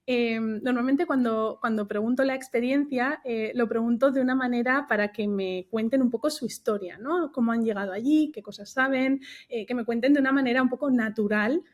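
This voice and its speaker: Higher-voiced woman chatting. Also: darkish tone. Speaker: podcast guest, recruiter. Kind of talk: casual conversational anecdotes.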